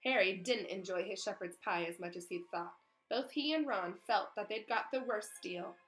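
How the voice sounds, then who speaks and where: A woman's voice: mid-range voice, moderate pace, fairly bright tone, faint music behind, low-quality recording. A woman reading a children's storybook aloud expressively, in the way of a teacher.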